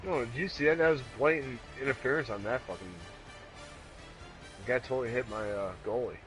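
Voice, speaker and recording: A man's voice: medium pitch, a little fast, fairly bright tone, faint music behind, low-quality recording. A man in casual gamer chat, swearing and griping as he plays. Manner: profane, griping. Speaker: podcast co-host playing a video game.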